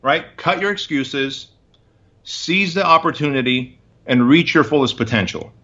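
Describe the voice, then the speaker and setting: A man's voice: fairly high pitch, animated, unhurried, sharp tone, muffled recording. A man in his forties, a guest in an interview, telling his story in emphatic, punchy short phrases. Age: 40s.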